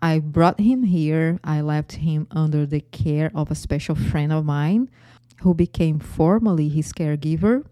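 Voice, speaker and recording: A woman's voice: deep voice, measured pace, warm tone, dry recording. A woman in her forties with a Brazilian accent, hosting her own podcast and telling her life story with frequent light laughs. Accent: Brazilian Portuguese. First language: Portuguese. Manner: laughs often between phrases. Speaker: podcast host.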